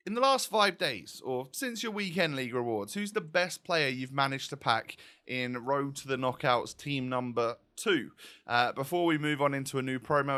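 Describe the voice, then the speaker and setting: A man's voice: fairly high pitch, sharp tone. A man hosting a gaming video with casual, chatty, rambling and self-deprecating commentary.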